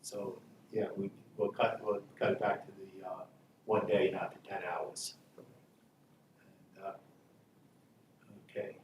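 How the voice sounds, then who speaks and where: A man chairing an informal village meeting, talking in a halting, matter-of-fact way. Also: deep voice, level tone. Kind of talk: informal council meeting discussion. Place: meeting room, picked up from a distance. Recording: echoey room, distant mic, faint noise.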